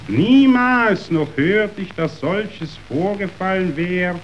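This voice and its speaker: A grandiose, self-justifying tycoon in a verse radio play. He declaims slowly and wheedles in a high voice that swings widely. The recording is dull. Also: lively intonation, slight background noise. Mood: condescending, musing. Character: ruthless meat magnate, grandiose, sentimental, self-justifying. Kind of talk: theatrical verse radio drama.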